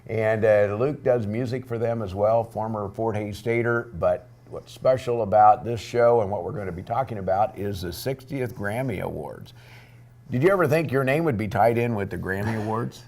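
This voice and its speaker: A man hosting a local TV interview, asking questions in a conversational way with a low voice. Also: moderate pace, a little mellow. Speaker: interview host.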